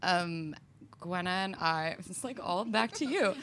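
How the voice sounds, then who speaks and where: A woman's voice: fairly low pitch, lively intonation, measured pace, a crowd in the background. A young woman from Toronto, a singer, chatting with giggles and hesitant ums. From Toronto, Canada. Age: young adult. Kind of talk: casual chat.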